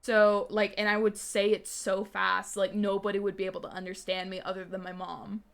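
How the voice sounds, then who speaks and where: A woman's voice: mid-range voice, level tone, normal speed, creaky, fairly bright tone. A young woman streamer with a slight lisp on her s and t sounds, rambling casually with many fillers and restarts. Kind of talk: casual live-stream chat.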